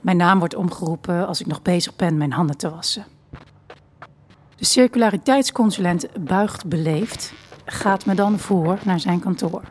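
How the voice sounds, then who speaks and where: A woman's voice: on the low side, normal speed, soft background music. A woman reading first-person literary fiction as the narrator of an audio drama.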